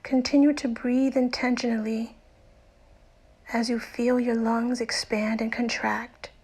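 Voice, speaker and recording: Soothing high-voiced woman guiding meditation. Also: level tone, a little mellow, breathy edge. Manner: soothing, unhurried, deliberate pauses. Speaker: meditation guide. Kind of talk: guided meditation narration. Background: music.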